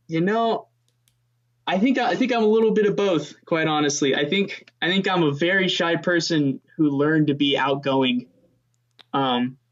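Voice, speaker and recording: A young guitarist with a fairly high voice talks loosely and warmly in an interview, full of man and dude. The sound is a little dull and muffled, as on a lo-fi recording. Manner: relaxed, chatty, says man and dude, laughs easily. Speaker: touring session guitarist, guest. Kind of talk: casual interview chat.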